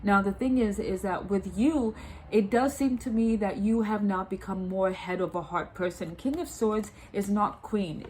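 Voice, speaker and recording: A woman's voice: medium pitch, normal speed, a little mellow, a little hiss or hum, poor audio. A woman with a cold and a blocked nose, giving a tarot reading. She often repeats and restarts her words and keeps checking whether the listener understands. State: stuffed up from a cold. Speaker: tarot reader.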